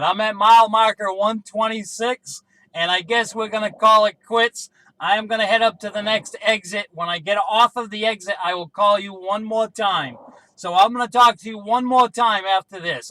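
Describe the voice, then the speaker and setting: A man's voice: high-pitched, normal speed, sharp tone, low-quality recording. A man talking casually as a CB radio operator, making brief two-way range-check calls.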